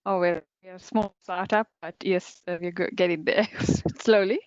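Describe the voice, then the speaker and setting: A woman's voice: fairly low pitch, steady tone, moderate pace, a little mellow, clean tone, no room sound. A woman in her forties with a Sri Lankan accent, originally from Sri Lanka, speaking as a guest at an online conference in a chatty, upbeat way, with lots of fillers and small laughs. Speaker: guest speaker, cybersecurity company director. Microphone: video call. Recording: dull lo-fi video call audio.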